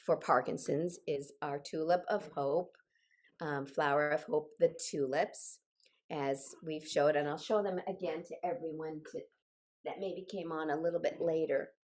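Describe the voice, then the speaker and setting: A woman's voice: low-pitched, steady tone, measured pace, a little mellow. An older woman getting over a cold, leading a group singing session over a video call in an encouraging, directive way. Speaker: choir leader and singing instructor. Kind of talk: instructional group singing session with personal asides.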